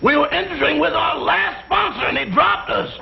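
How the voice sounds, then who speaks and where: An American man playing a cheeky, wisecracking household assistant in a vintage sitcom, with a raspy voice and punchy comebacks. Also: extremely high pitch, fairly quick, shouting, a loud crowd, full character voice. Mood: indignant, cheeky. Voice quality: gravelly, hoarse rasp. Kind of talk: 1960s sitcom acting. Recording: very noisy, dull vintage TV soundtrack.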